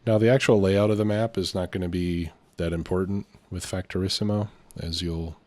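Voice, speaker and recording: A man's voice: deep voice, fairly even intonation, fairly quick, a little mellow, dry recording. A man with a slightly congested voice from a lingering cold, hosting a casual let's play and thinking aloud hesitantly. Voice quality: slightly stuffy, congested nose. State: getting over a cold. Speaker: gaming video host.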